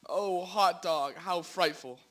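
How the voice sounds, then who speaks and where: Man playing a high, bright, blustering fat suspect. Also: takes it slowly, exaggerated voice. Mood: uneasy. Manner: stammering, blustering, defensive. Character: nervous, indignant fat man, gluttonous murder-mystery suspect. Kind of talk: amateur school radio drama acting.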